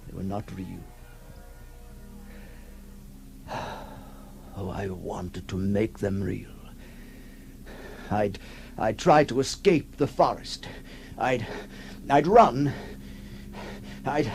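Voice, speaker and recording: An actor playing a haunted, lonely male outcast in a halting, theatrical 1960s radio drama monologue, intense and tormented. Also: a little high, vocal fry. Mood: anguished, frantic. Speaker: actor narrating as the character. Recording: noisy 1960s radio recording.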